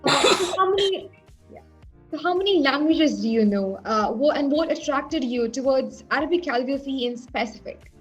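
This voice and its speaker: A woman's voice: high voice, expressive, normal speed, faint music behind, rough recording. A woman host brought up in the UAE, asking questions hesitantly with many fillers. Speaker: interviewer, host. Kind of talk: interview questions.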